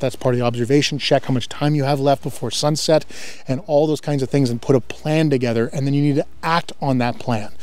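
A man in his thirties from Central Ontario, a survival instructor explaining survival basics to camera, earnest and steady, outdoors in bare woods on a lapel mic. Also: mid-range voice, dry recording. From Central Ontario, Canada.

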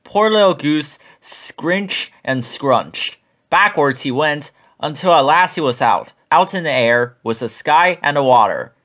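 A man narrating a children's picture book in an expressive read-aloud style. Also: a little high, unhurried.

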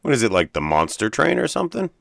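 A man's voice: medium pitch, wildly swooping pitch, quick pace, creaky, noisy background. A middle-aged man giving casual, unscripted reactions as a stream host, blunt, sweary and incredulous.

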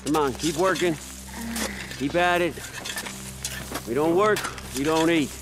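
An actor playing a pushy work camp boss in a video game, calling out to urge labourers on. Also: male voice, fairly high pitch, a little slow, close to an everyday voice, low-quality recording. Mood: urging, bossy. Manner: urging, calling out. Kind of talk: video game cutscene acting.

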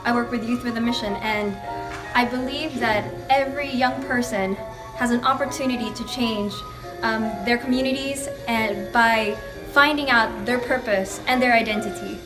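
Young woman, slightly high, encouraging, a bit off mic, music behind. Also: moderate pace, recorded from a distance, rough recording. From USA and Philippines. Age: young adult. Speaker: youth delegate. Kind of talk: recorded greeting to camera.

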